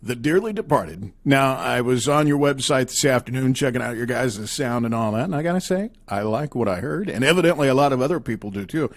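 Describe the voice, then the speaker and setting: A man's voice: medium pitch, lively intonation, fairly quick, hardly any pauses. Male music radio host, middle register, conversational interviewer delivery. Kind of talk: upbeat music interview hosting.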